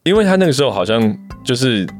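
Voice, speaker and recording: A man with a Taiwanese Mandarin accent and a low, magnetic voice, chatting casually in a hesitant, self-deprecating way and repeating himself. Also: big pitch swings, fast. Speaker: podcast co-host and amateur voice actor. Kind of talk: casual chat. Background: music.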